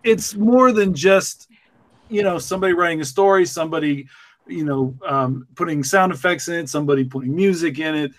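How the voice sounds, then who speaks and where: Male podcast producer, somewhat high register, earnest, halting, conversational delivery. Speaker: podcast producer who picks stories. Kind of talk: casual podcast chat.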